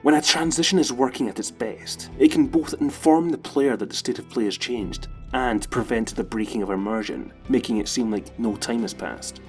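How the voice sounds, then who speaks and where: Guy narrating a video essay, mid-pitched voice, music playing behind him. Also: normal speed, hardly any pauses. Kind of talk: scripted narration.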